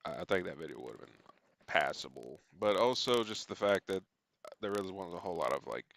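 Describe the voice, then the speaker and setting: A man with a low voice and a dry, close sound hosts a reaction video. He talks off the cuff, blunt and casual, swearing freely. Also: expressive, a little fast, vocal fry, a bit bright, no echo.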